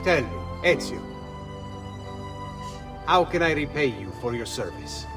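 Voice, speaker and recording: An actor playing an excitable, grateful rebel leader in a video game, brisk and inquisitive. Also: male voice, fairly high pitch, over music. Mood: eager. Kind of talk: video game cutscene acting.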